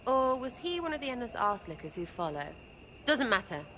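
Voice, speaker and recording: A woman's voice: a little high, very animated, fairly quick, sharp tone, slight background noise. A woman voicing a cheeky, irreverent young thief archer in a video game cutscene, brash and crude in her speech. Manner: brash, mocking, slangy and crude.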